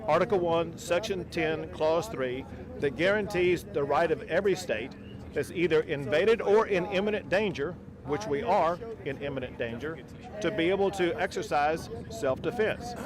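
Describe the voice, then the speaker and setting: A man speaking as a governor at a press conference, emphatic and forceful, outdoors by a river. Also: a little high, moderate pace, a crowd in the background. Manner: forceful, emphatic political speech. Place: outdoors by a river border crossing.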